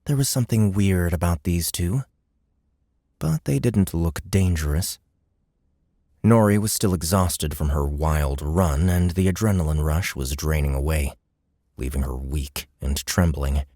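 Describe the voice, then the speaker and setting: A man's voice: deep voice, a little slow, dark tone, dry recording, studio quality. A man narrating a fiction audiobook from a script.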